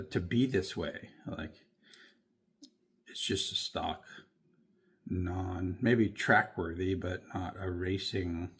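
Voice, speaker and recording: A man's voice: low-pitched, breathy edge. A man with a northern US accent, talking through his toy car collection in a rambling, offhand way, often correcting himself mid-sentence. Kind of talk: casual show-and-tell.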